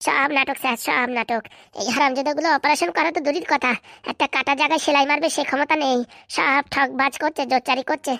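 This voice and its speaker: A very fast, high-pitched cartoon youngster played by a woman. The character is indignant and mouthy, forever accusing. The sound is dry and close. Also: steady tone, gravelly, fairly bright tone, no echo. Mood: angry, accusing. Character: indignant, sharp-tongued young relative exposing hospital fraud. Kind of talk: fast cartoon comedy dialogue.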